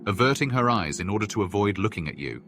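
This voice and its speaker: A man narrating a fantasy game quest as a storyteller, in measured, literary sentences. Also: lowish voice, expressive, fairly bright tone. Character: male second-person storyteller of a fantasy quest.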